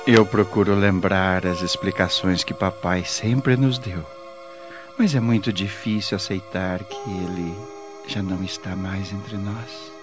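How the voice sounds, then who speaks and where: A man acting in a radio drama as a responsible, steady adult brother, speaking earnestly. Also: deep voice, a little slow, over music. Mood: grieving, steadying. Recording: dull lo-fi radio drama audio.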